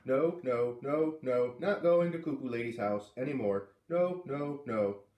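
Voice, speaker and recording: A man's voice: mid-range voice, a little slow, echoey room, far from the mic, highs cut off, lightly acted. A man voicing an upset, stubborn, whiny little boy in a storybook, protesting sulkily and repeating himself. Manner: repetitive, sulky protesting.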